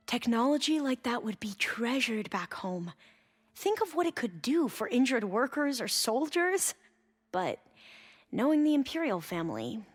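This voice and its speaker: An actress plays an earnest young female scholar in a video game, bookish and a little nervous. She speaks in careful, formal phrases with small hesitations, at a middling pitch, over faint music. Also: lightly acted. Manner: hesitant, halting, with formal learned wording. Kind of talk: video game cutscene dialogue.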